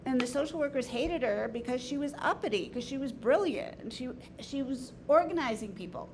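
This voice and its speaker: A woman's voice: high voice, animated, brisk, a little mellow. A woman leading an informal lecture and group discussion in an opinionated, emphatic way. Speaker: discussion leader, teacher. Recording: noisy room recording.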